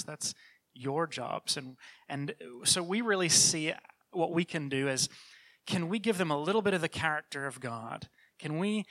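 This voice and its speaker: A middle-aged man from New Zealand, his accent softened by years in Canada, giving an earnest, conversational church talk as a children's ministry leader, with frequent restarts. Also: fairly high pitch. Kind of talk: church talk with personal testimony.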